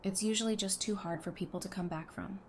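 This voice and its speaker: A woman's voice: mid-range voice, fast talker, natural voice. A woman acting a casual, caring, teasing woman. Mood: gentle, concerned. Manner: casual, gently familiar. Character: caring, teasing woman, supportive wife and friend. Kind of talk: audio drama acting.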